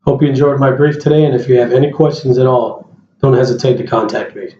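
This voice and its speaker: A man's voice: mid-range voice, fast, mellow. A man giving a plain-spoken informational presentation as an air traffic controller, addressing his listeners directly. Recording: roomy, dull lo-fi sound, faint noise.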